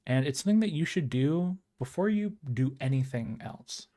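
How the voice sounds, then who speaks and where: A man's voice: fairly high pitch, expressive, moderate pace, dark tone. A man hosting a video, narrating scripted tips in a tutorial style. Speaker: video host giving tips.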